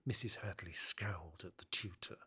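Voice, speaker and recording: A man narrating an audio drama in a literary, measured, suspenseful way. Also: sits low, bright, forceful tone, breathy edge, exaggerated voice. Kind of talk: dramatic scripted story narration.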